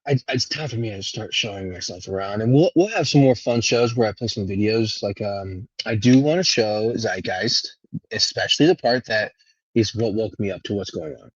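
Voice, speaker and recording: Husky low-voiced man rambling on a livestream. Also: no echo, dull audio. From Venezuela. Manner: rambling, halting, with throat clearing and trailing thoughts. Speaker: live stream host. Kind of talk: casual unscripted livestream monologue.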